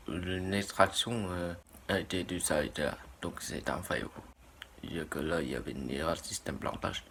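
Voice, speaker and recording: A man with an extremely deep voice and heavy vocal fry narrates haltingly. His sentences break off and restart around frequent fillers. A faint noise sits behind him. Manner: hesitant, full of fillers and broken sentences. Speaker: vlogger. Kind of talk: casual video narration.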